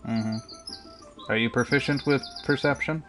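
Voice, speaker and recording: Game master for a tabletop session, mid-pitched, hesitant and wordy, light music with chirping birds underneath. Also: fairly bright tone. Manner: halting, roundabout phrasing. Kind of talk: casual tabletop game narration.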